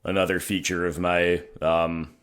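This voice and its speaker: A hoarse man thinking aloud in a wry, self-mocking way as he commentates his own gameplay. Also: sits low, flat delivery, a little slow, fairly bright tone. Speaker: gaming channel host.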